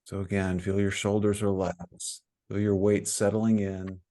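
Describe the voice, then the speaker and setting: Slow, deep-voiced man guiding meditation. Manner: calm, soothing instruction with frequent pauses. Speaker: meditation teacher. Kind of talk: guided meditation.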